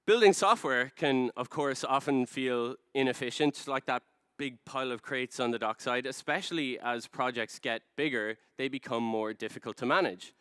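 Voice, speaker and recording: An Irish man in his thirties giving a rehearsed conference presentation, explaining things in a measured, storytelling way with emphasis. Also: mid-range voice, fairly bright tone, clean tone, no room sound, clean studio sound. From Ireland. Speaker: conference speaker, product design director. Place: large dark stage, indoors.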